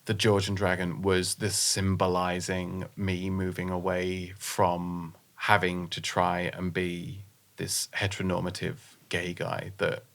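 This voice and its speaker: A guest on a podcast chatting casually, frank and opinionated, who explains things at length. The voice is very low with a heavy gravelly fry. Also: monotone, measured pace. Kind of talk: casual conversation.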